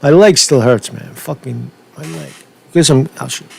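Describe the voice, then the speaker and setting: A man's voice: mid-range voice, normal speed, vocal fry. A man giving casual, rambling news commentary as a host, swearing often, with slangy, repetitive and stumbling delivery. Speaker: host of his own news commentary show.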